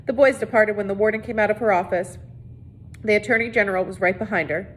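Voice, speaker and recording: A woman reading a children's novel aloud as narrator. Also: fast. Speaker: reader. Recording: noisy recording with low rumble.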